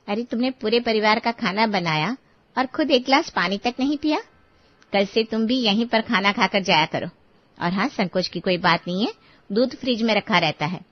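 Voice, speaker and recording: Kindly female household employer acted by a woman, medium pitch, reassuring and polite, cartoon story, dry but slightly dull audio. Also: natural voice. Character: kind, appreciative lady of the house, caring employer.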